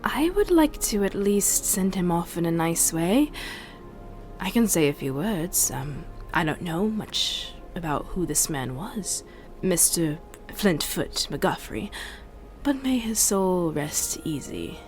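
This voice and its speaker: A woman's voice: fairly low pitch, expressive, slow pace. A woman improvising casually as a player in a tabletop roleplaying game. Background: quiet music.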